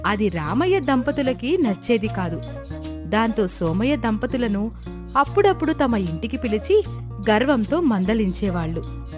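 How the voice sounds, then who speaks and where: A woman's voice: on the high side, sing-song, unhurried, over music. A woman narrating a scripted moral story for children.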